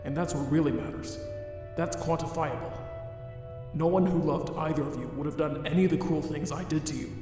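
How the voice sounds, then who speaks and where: A man playing a remorseful, self-loathing monster scientist in a comic dub, speaking in a formal, deliberate way. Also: fairly high pitch, steady tone, dark tone, lightly acted. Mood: regretful. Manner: formal, deliberate, grave wording. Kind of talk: dramatic fan comic dub. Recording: very echoey long reverb under music.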